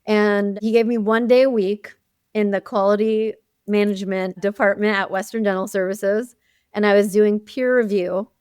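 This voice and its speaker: A woman who grew up speaking Arabic talks through her career in a podcast interview, warm in her storytelling and full of little asides. Her voice is smooth and clear at a middle pitch. It sits dry and close to the mic, with no room around it. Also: normal speed, dry recording. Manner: animated storytelling with frequent "you know" and laughs. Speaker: guest, chief dental officer. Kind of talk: conversational interview.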